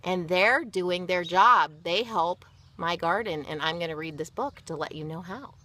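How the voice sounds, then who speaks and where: A woman's voice: on the low side, moderate pace, bright, forceful tone. A woman reading a picture book to children, expressively, with chatty asides and simple explanations. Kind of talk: read-aloud with chatty asides.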